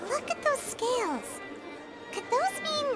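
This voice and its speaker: A woman voicing a tiny, excitable, childlike companion in a cartoonish video game, squeaky, blunt and exclamatory. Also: slow pace, heavily acted. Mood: suspicious. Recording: loud music and crowd behind.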